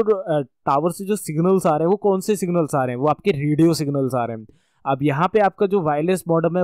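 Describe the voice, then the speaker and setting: Higher-voiced Indian man teaching, dry sound. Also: a little mellow, no echo. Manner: repeats and rephrases points, checks understanding. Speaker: networking course instructor. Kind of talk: informal explanatory lecture.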